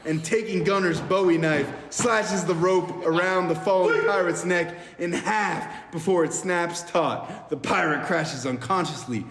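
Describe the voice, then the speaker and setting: A man's voice: high voice, unhurried, few pauses, fairly bright tone. A man narrating stage directions from a screenplay in a dramatic way at an amateur table read. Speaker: podcast member reading stage directions. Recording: echoey hall, faint noise.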